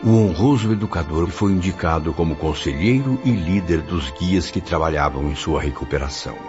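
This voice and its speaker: A man's voice: low-pitched, normal speed, close to an everyday voice. A Brazilian man playing a solemn, formal guide who narrates didactically in a radio drama. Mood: solemn, explanatory. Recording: dull audio with music bed.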